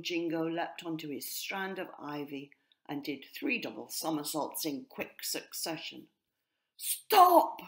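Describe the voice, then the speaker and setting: A woman narrating a children's story as its author, expressive and clearly scripted. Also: fairly low pitch. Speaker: author reading her own story.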